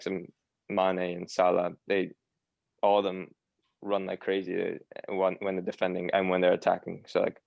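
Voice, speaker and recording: Young man, Long Island accent, dull dry lo-fi sound, halting casual answers. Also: low-pitched, creaky, no room sound. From New York, USA. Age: young adult. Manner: hesitant, many fillers and restarts. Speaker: guest, academy footballer. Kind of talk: casual interview chat.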